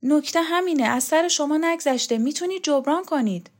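A woman voicing an earnest, anxious young male student who pleads quickly. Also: high voice, voice pushed up, lightly acted. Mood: pleading.

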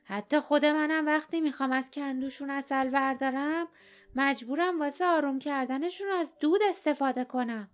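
A fierce old woman from an audiobook fantasy tale, acted out by a female narrator. She is suspicious and scolding, and her voice sits very high and forceful as she guards what is hers. Music plays faintly behind her. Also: fairly even intonation, natural voice. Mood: warning. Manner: scolding, threatening, colloquial speech. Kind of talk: dramatized audiobook character voice.

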